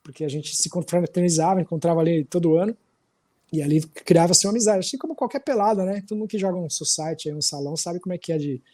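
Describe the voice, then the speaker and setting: A man in his forties from São Paulo with a Paulistano accent, a musician telling stories as a podcast guest in a relaxed, rambling way. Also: fairly high pitch, fast. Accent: Paulistano Brazilian Portuguese. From São Paulo, Brazil. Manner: relaxed, rambling, with frequent tag questions. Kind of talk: casual storytelling chat.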